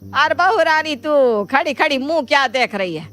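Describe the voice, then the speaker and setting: A woman's voice: sits high, expressive, moderate pace, fairly bright tone. A woman voicing an emphatic, theatrical middle-aged Indian mother-in-law in a Hindi cartoon moral story. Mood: scolding, exasperated. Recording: music bed behind the voice.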